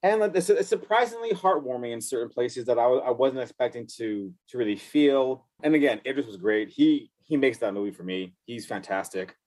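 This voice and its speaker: A man's voice: medium pitch, animated, fairly quick, few pauses, darkish tone, no room sound. Enthusiastic man giving movie opinions. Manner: agreeable, enthusiastic, stumbles over words. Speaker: podcast co-host. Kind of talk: casual movie chat.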